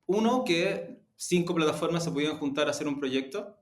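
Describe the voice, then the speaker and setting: A man giving measured, reflective answers as an interviewed executive. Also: a little high, lively intonation. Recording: roomy, echoing room.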